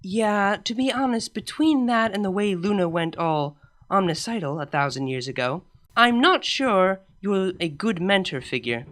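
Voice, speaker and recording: A woman's voice: mid-range voice, expressive, moderate pace, fairly bright tone, voice pushed up, lightly acted. A woman voicing a young pony princess in a comic storybook, reassuring in a hesitant, casual way. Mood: consoling. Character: soothing, tactless young pony princess, gently consoling.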